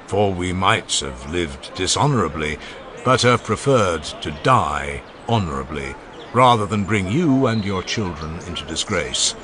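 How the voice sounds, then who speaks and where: A man reading a classical funeral oration aloud in a solemn, declamatory way, his long phrases rising and falling. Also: sits low. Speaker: audiobook narrator. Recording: faint background ambience and music under the voice.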